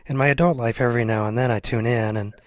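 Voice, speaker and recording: A man's voice: medium pitch, steady tone, quick pace, no echo, clean studio sound. A man interviewing someone in a casual, conversational way.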